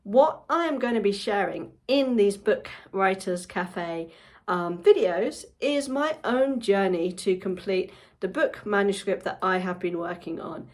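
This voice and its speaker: A woman's voice: mid-range voice, measured pace. A woman in her forties, a book coach, chatting casually to camera at home with frequent ums. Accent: non-Japanese English accent. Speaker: book coach and channel host.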